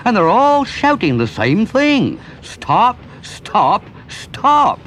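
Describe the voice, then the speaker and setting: A man's voice: high voice, huge pitch swings, normal speed, background noise. A man doing a bluff engine driver. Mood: urgent. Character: kindly stern middle-aged male engine driver.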